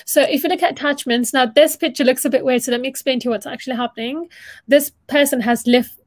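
A woman's voice: high-pitched, fairly even intonation, fast talker, no echo, studio quality. A young woman teaching informally on a video call, chatty and encouraging, often rephrasing as she explains. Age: young adult. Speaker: student presenter of an online dental anatomy session. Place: small room at home, indoors.

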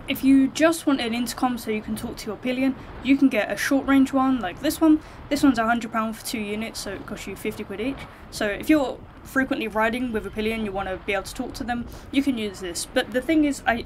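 A woman's voice: a little high, a little hiss or hum. A British woman giving casual, frank buying advice to viewers as a motorcycle vlogger, speaking informally. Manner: informal, frank, hedged opinions.

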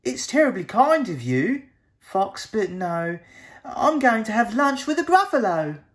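Man voicing a high-pitched, cheeky little storybook mouse. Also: sing-song, unhurried, heavily acted. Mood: polite, bold. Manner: bright, courteous, bragging. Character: small brown mouse, polite, cheeky and boastful.

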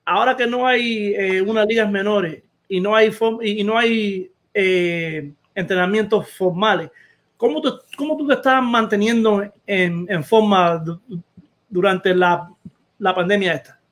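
A man co-hosts a baseball show. His voice is high, and his questions come out halting, with many restarts. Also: fairly bright tone. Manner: stammering, asks questions with restarts. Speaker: co-host. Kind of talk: casual interview questions.

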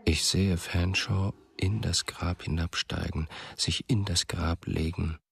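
Very deep gravelly brooding writer. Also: male voice, fairly even intonation, slow pace, a bit bright, no echo, exaggerated voice. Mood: haunted, somber. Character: brooding male writer haunted by memories and longing. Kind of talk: slow introspective radio drama monologue.

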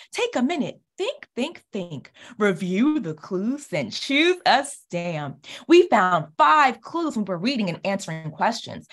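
A woman's voice: a little high, fairly bright tone. A woman in her thirties doing an interactive read-aloud for young children, encouraging them and asking questions, recorded indoors on a video call. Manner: encouraging, exclamatory, asks questions and answers them. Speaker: children's author reading own book. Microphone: computer mic on video call.

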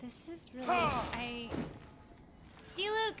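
A woman's voice: high-pitched, lively intonation, slow, heavy background noise. A young woman chatting casually on a game livestream, playfully talking to the characters and her viewers. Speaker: game streamer. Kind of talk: casual livestream chat while gaming. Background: game music.

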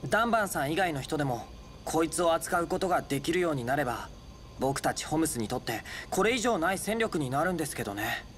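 Earnest, higher-pitched boy researcher. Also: very animated, fast, fairly bright tone, slight background noise. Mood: polite, earnest. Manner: polite, earnest. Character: earnest, polite, thoughtful teenage boy researcher. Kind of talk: anime-style game voice acting. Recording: music behind.

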